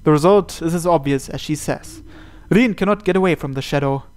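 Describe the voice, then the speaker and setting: A man's voice: fairly high pitch, fairly quick, a little mellow. A man narrating a visual novel aloud as host, reading the scripted text.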